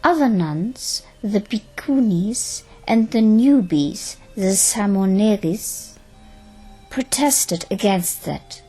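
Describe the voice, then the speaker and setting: A woman narrating a scripted audio drama in a measured, dramatic storytelling manner. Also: mid-range voice, lively intonation, mellow, breathy edge, faint music behind, low-quality recording.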